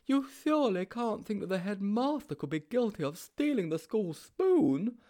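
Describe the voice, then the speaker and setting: A man voicing a jittery, timid schoolboy sidekick who stammers in a high, fearful voice. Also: very animated, moderate pace, warm tone. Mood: nervous.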